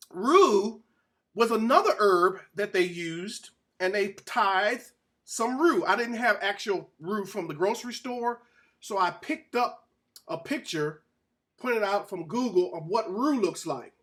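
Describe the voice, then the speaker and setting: A man's voice: high-pitched, lively intonation, slow, fairly bright tone. A man giving an informal Bible-teaching sermon as a presenter, speaking emphatically, repeating phrases and putting questions to his listeners.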